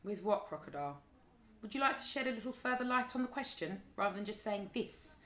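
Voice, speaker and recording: An actress playing an exasperated, sarcastic, sweary office colleague in an audio drama, wordy and withering. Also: female voice, mid-range voice, expressive, fairly quick, bright, forceful tone, roomy sound. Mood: sardonic. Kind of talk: naturalistic audio drama acting.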